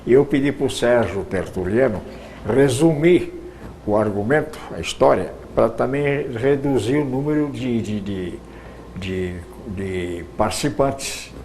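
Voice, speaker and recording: A man's voice: medium pitch, animated, unhurried, darkish tone, slight background noise. An older man with a Brazilian accent answering informally as an interview guest, rambling and often repeating his words. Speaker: interview guest, radio broadcaster.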